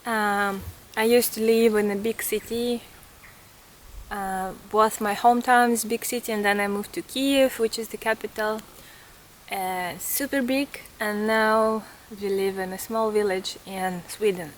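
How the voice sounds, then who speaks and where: A woman's voice: medium pitch, steady tone, unhurried, slight background noise, low-quality recording. A woman in her thirties with a Ukrainian accent, talking in an earnest, reflective way to camera as a vlogger. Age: 30s. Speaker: vlogger and artist. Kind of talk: casual reflective vlog talk to camera. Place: outdoors in a forest.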